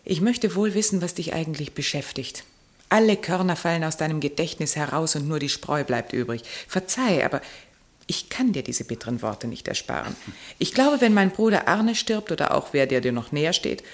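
A low-voiced, stern aristocratic wife. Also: female voice, lively intonation. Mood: reproachful, bitter. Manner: earnest, reproachful, measured. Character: stern, brooding, dutiful aristocratic wife and mother. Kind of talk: German radio drama acting.

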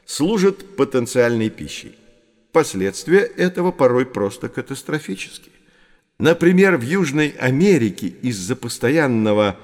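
Casting: didactic guide for children's educational audio play, medium pitch, very varied intonation. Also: male voice, dry recording, studio quality. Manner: didactic, expressive. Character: knowledgeable male guide teaching two children.

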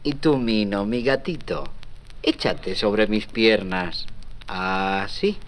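An actor playing a kindly, weary old shepherd in a children's audio story, with a hesitant manner. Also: male voice, on the low side. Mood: weary, tender. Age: older. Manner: slow, hesitant, interjecting.

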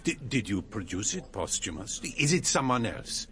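A man's voice: on the low side, very animated, normal speed, bright, forceful tone, heavily acted. An actor playing an inquisitive, eager man who probes with questions in a radio drama. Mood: incredulous. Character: Carl, inquisitive, eager male listener probing a story. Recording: crowd murmur behind.

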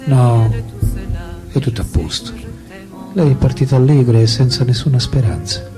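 A man in a radio drama playing a French philosopher-writer who confides his memories and love letters in an intimate, deliberate, literary way. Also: medium pitch, sing-song, lightly acted. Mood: tender, confessional. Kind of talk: theatrical radio drama monologue. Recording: music behind the voice.

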